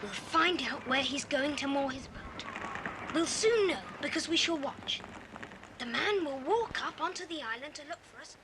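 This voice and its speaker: A performer playing a bold, quick-thinking child adventurer who takes charge in a children's radio play. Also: high voice, expressive. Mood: planning, confident. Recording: noisy old cassette transfer.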